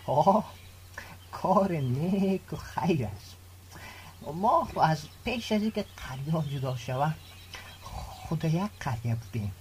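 A man's voice: on the high side, expressive, darkish tone, a little hiss or hum, lightly acted. An actor playing a courteous middle-aged village father in a radio drama, with an Afghan Dari accent. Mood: cordial. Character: courteous village father seeking a bride for son.

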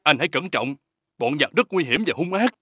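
An actor playing an earnest, deferential soldier in an animated folk tale about war. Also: male voice, on the high side, brisk, slight background noise. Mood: worried.

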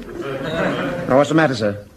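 An actor playing a mild, languid, gentlemanly sergeant in an old radio comedy. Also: male voice, mid-range voice, expressive, takes it slowly, noisy background. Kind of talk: 1970s radio comedy acting.

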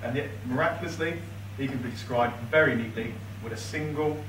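A young man in his late twenties giving a best man's speech from notes at a wedding reception, with a dry, teasing delivery and pauses for laughter. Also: mid-range voice, background noise. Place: large reception room with high ceiling, indoors. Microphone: far camera across the room, no mic. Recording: echoey room from far off. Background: guests laughing, room ambience.

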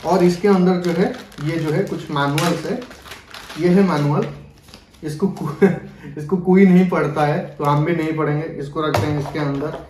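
Higher-voiced young man, roomy sound. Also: steady tone, normal speed, noisy background. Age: young adult. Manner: repetitive filler-laden explaining, mixing in English words. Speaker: product review vlogger. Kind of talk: casual unboxing and demonstration to camera. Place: small bedroom, indoors. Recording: roomy echo.

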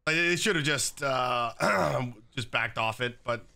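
A young man with a slightly raspy voice from being sick, chatting casually while commenting on his own gameplay at a desk setup. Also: mid-range voice, takes it slowly, sharp tone. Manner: casual, chatty, many fillers like "like" and "um". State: slightly raspy, recovering from illness. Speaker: gaming YouTuber. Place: home gaming setup, indoors.

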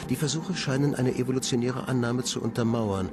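An actor playing an articulate scientist presenting research in a radio drama, formal and declamatory, as if reading a report. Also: male voice, medium pitch, steady tone, fairly quick, close to an everyday voice. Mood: solemn, assured. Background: loud music.

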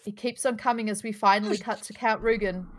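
A woman's voice: medium pitch, steady tone, a little fast, slight background noise. A woman reading out screenplay stage directions as narrator in a live table read over a video call. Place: indoors, on a group video call.

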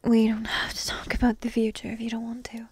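A woman playing a young dragon princess in an ASMR roleplay, coy, teasing and lovestruck, half-whispering in a soft, intimate, breathy way. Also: a little high, monotone, brisk, no room sound. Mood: pleading, wistful.

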